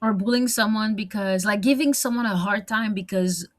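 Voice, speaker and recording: A woman's voice: medium pitch, moderate pace. A young woman with a French accent, talking candidly in a personal vlog monologue, rambling and repeating words, with lots of fillers and now and then a slip into French. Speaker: vlogger.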